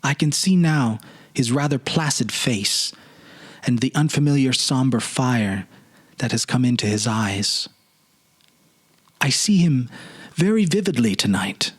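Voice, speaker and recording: A man giving a dramatic literary narration in a solemn, measured, pensive manner. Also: mid-range voice, breathy edge. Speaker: narrator, series producer and presenter.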